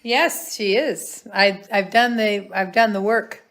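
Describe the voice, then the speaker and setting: A woman's voice: medium pitch, steady tone, slow, a bit bright. A middle-aged woman hosting a casual family livestream, chatty and upbeat. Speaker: host. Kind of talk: casual chat.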